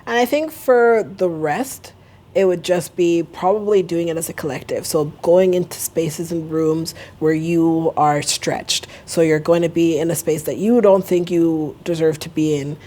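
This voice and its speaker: A woman with a Canadian accent, a guest in an interview, easygoing and quick to laugh. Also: low voice, warm tone. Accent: Canadian English. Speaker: guest, beauty brand founder. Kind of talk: casual interview answers.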